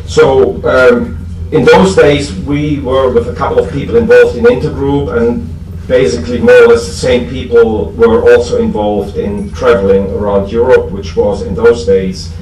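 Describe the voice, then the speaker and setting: A man with a German accent gives an informal, halting public talk about past times, with dry, self-deprecating humour. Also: medium pitch, fairly even intonation, darkish tone. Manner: self-deprecating, dryly joking. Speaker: speaker at a recovery fellowship convention. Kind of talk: informal public speech recalling history. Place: echoey room before an audience. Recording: echoey, noisy room, distant microphone.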